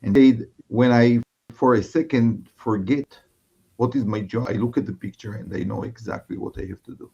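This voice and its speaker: A man's voice: mid-range voice, normal speed, gravelly, dark tone, slightly rough, lo-fi sound. A man from Israel with an Israeli accent, a native Hebrew speaker, speaking reflectively as a guest rabbi and often searching for his words. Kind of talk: reflective conversation.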